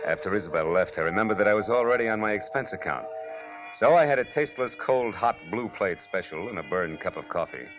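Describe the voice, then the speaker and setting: Hard-boiled private eye played by a man, lowish voice, sardonic and clipped, old radio noir with muffled sound. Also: expressive, fast talker, close to an everyday voice. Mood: wry. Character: wry, hard-boiled male private detective narrating his case. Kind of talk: 1940s radio detective acting, first-person noir narration. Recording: dull 1940s radio recording, music behind.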